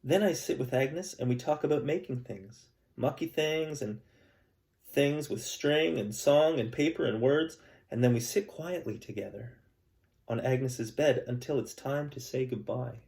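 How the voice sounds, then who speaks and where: Gentle male teacher reading aloud. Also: medium pitch, unhurried, vocal fry. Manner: gentle, calm storytelling with chatty asides to kids. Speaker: elementary school teacher. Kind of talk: reading a picture book aloud to students.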